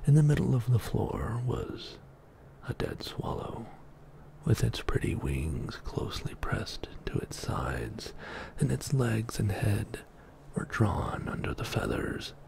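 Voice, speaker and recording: A middle-aged man reading a bedtime story as ASMR in a soft, breathy whisper, hushed and soothing, close to the microphone in a small indoor studio. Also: lowish voice, huge pitch swings. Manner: slow, hushed, soothing narration.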